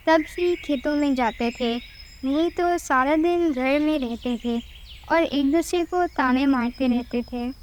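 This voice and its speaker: Slow, high-voiced woman narrating a story. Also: a little hiss or hum. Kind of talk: scripted children's story narration.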